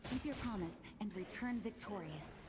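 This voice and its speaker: A woman's voice: medium pitch, animated, normal speed, heavy background noise, lightly acted. An actress playing an earnest, courteous female knight in a video game. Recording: loud music behind the voice. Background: loud game music.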